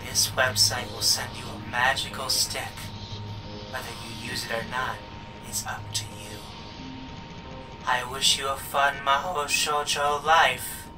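A man voicing an eerie, impassive administrator who speaks deliberately and ominously in an anime-style dub. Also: medium pitch, level tone, far from the mic, background noise, natural voice. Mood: detached. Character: eerie, impassive website administrator granting magical power.

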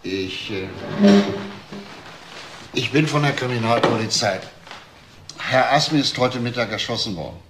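An actor playing a methodical police detective, asking persistent, measured questions in a crime radio play. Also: male voice, mid-range voice, animated, fairly bright tone. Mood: measured, probing. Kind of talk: German crime radio drama acting. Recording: faint background noise.

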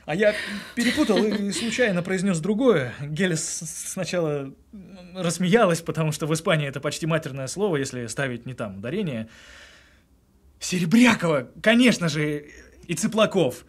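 An actor playing an evasive, self-justifying husband in a naturalistic audio drama, speaking in a halting, husky way. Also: male voice, a little high, expressive. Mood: earnest, self-justifying. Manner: halting, pausing mid-confession. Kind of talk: naturalistic audio drama with murmured inner monologue.

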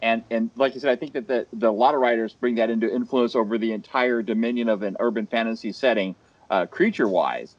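A man's voice: mid-range voice, fairly even intonation, fast, no room sound. A man moderating an online panel over a headset, talking in long rambling turns with many restarts. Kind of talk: casual panel discussion. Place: home room. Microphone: headset over video call. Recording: muffled video call audio.

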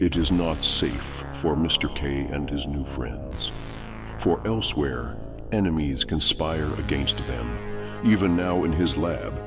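An actor playing a grave, ominous narrator of an audio drama, theatrical in delivery. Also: male voice, a bass voice. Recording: long reverb, prominent synth music.

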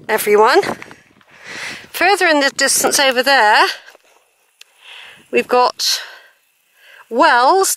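An older woman talks to her camera as she walks across open fields. Her voice sits a little high, and she rambles along with friendly asides, often correcting herself mid-sentence. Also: fairly bright tone, dry recording. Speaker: walking vlogger. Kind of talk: chatty walking commentary to camera. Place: open hilly fields outdoors. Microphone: handheld camera mic while walking.

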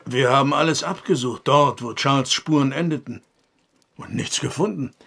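A man's voice: medium pitch, normal speed, gravelly, a bit bright. An actor playing a middle-aged father of a missing son, worried and sceptical, talking directly and probingly in a horror audio drama.

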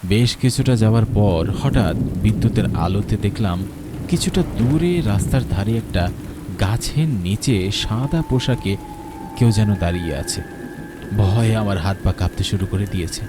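A man's voice: fairly low pitch, normal speed, background noise. Man narrating a stormy ghost story. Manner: first-person, tense and dramatic, with nervous stammers. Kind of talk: scripted horror story narration. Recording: loud rain and thunder behind.

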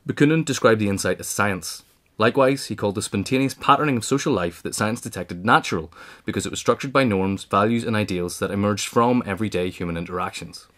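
Brisk, lower-pitched man reading nonfiction. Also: a bit bright. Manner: formal, articulate read-aloud delivery. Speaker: audiobook reader. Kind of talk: scripted narration of a political history essay.

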